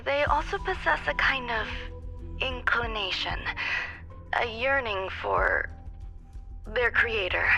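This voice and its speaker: Female video game scientist: aloof, measured, clinical phrasing, music underneath. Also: mid-range voice, sharp tone, poor audio. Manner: measured, formal, clinical delivery. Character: calm, aloof female genius scientist, coolly detached. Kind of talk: scripted video game dialogue. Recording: game audio with music behind.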